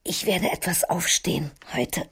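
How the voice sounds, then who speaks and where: An actress playing a frail, sick mother in a radio drama, speaking gently and weakly, with worry and halting breath. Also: lowish voice, full character voice. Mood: weak, tearful. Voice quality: breathy, weak.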